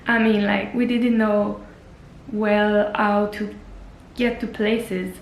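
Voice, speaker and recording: A woman's voice: mid-range voice, level tone, slow, echoey room, slight background noise, rough recording. A young woman whose first language is French, chatting earnestly in a personal travel vlog, often restarting her sentences. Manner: earnest, many restarts and fillers. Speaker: travel vlogger. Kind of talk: casual personal vlog talk.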